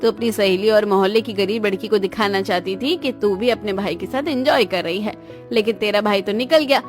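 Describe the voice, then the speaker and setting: A woman voicing a middle-aged mother in a cartoon, gentle but firm, with a measured maternal manner. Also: medium pitch, music in the background, voice pushed down, natural voice. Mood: practical, firm.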